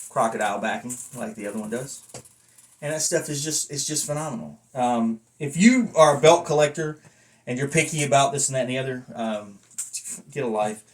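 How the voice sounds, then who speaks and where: A man talking casually to camera in a rambling review, with a blunt, self-deprecating manner. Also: mid-range voice. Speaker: vlogger and wrestling belt collector reviewing a belt.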